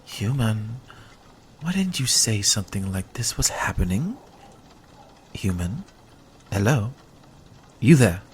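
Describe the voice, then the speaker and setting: A man's voice: on the low side, big pitch swings, slow, pauses often, a little hiss or hum. A man playing a possessive, dry-witted vampire in an ASMR roleplay, with a sardonic, commanding manner. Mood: commanding, questioning. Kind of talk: ASMR roleplay audio drama.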